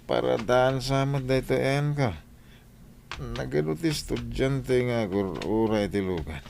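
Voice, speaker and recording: A man's voice: medium pitch, a little slow, gravelly, a little mellow. A man playing an irresponsible, hard-drinking village father in a comedy radio drama, dismissive and full of grunts. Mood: carefree. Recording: some background noise.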